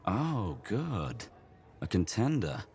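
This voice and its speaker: A teasing roguish traveler. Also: male voice, fairly low pitch, very animated, takes it slowly, darkish tone, full character voice. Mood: playful. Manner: joking, teasing, theatrical. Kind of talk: video game cutscene acting.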